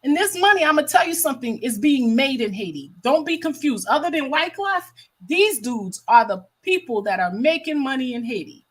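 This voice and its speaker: A woman's voice: high-pitched, very animated, moderate pace, few pauses, fairly bright tone. An American woman hosting a livestream with casual, impassioned commentary, stressing points by repeating her phrases. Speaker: livestream host.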